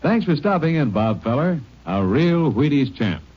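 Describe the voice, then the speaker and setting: A man's voice: a little high, sing-song, normal speed, husky. A man with an American accent doing hearty, folksy scripted interview banter for a 1950s radio ad. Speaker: commercial interviewer. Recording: dull old radio recording.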